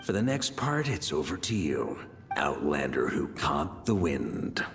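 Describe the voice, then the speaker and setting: A man playing a rugged, self-assured veteran knight in a video game, musing aloud in a wry, unhurried way. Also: very deep voice, big pitch swings, exaggerated voice. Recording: music under the voice. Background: game music.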